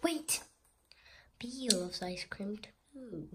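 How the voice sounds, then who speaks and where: A woman voicing an excitable, anxious cartoon elephant in a theatrical children's picture-book read-aloud, swinging between whispers and loud outbursts. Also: medium pitch, takes it slowly, a little hiss or hum. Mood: hesitant, scheming.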